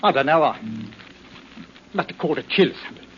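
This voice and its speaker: An actor playing a meek, nervous henpecked husband, fussy and hesitant, in 1940s radio drama style. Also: male voice, fairly high pitch, a little fast, over loud music, close to an everyday voice. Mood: nervous, apologetic. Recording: muffled, noisy 1940s radio recording.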